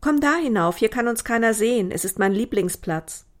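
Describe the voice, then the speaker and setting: A woman's voice: medium pitch, moderate pace, darkish tone, natural voice. A woman voicing an earnest, secretive girl from a fantasy land in an audiobook, with an urgent, dramatic manner. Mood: eager, hopeful.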